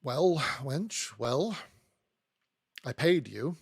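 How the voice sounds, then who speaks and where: A man's voice: a little high, big pitch swings, extremely slow, creaky, a little mellow, breathy edge, in a large hall, heavily acted. A man playing a dry, domineering older lawyer who speaks brusquely and with condescension. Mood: contemptuous.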